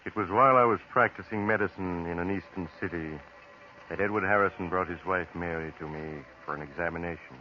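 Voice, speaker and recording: An actor playing a grave, eerie storyteller, narrating 1940s radio horror in an ominous, deliberate, dramatic way on a dull, noisy old recording. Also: male voice, deep voice, lightly acted.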